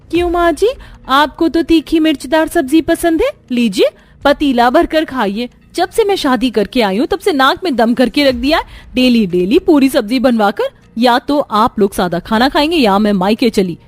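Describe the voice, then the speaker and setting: Woman as a high-voiced, fed-up young cartoon daughter-in-law. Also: expressive, normal speed, no room sound. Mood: defiant. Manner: whining, exasperated complaining.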